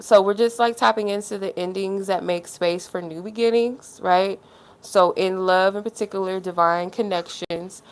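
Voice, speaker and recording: Stuffy-nosed woman on a tarot livestream. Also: on the low side. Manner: rambling, heavy use of "like" fillers. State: stuffy nose; feeling unwell. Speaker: tarot reader. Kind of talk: casual livestream chat.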